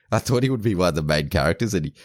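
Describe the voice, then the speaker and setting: A man with an extremely low, deep voice chats casually on a podcast, stumbling through a plot summary with constant restarts and self-corrections. The sound is dry and close, with no room around it. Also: animated, fast talker, dry recording. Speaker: podcast co-host. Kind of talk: casual chat, retelling a movie plot.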